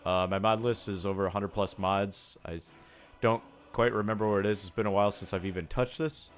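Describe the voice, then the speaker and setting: A young man with a raspy, sore-sounding voice, casually commenting on his gameplay, often breaking into excited yelps between low mumbles. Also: fairly quick. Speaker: gaming YouTuber.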